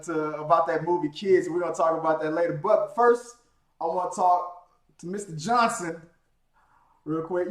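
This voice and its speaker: A man from Houston hosting a casual live-stream chat, loose and joking with many restarts. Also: high-pitched. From Houston, Texas. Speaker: podcast host. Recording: echoey room.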